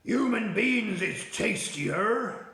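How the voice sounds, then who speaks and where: Man putting on a nasty greedy giant, slow menacing threats, echoey room. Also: high-pitched, a bit bright, pitched above the performer's own voice, heavily acted. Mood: suspicious. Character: greedy brutish male giant, menacing and suspicious.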